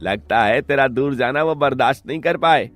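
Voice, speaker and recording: A man's voice: medium pitch, normal speed, a bit bright, no room sound, faint music behind, lightly acted. A man voicing a greedy, hot-tempered village labourer, a menacing and sly villain in a cartoon story. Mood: falsely distressed.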